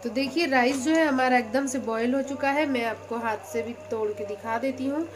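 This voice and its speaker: A woman's voice: sits high, moderate pace, faint music behind. A woman hosting her own cooking channel, talking through each step of a recipe in a chatty, patient way from her home kitchen. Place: home kitchen by a gas stove.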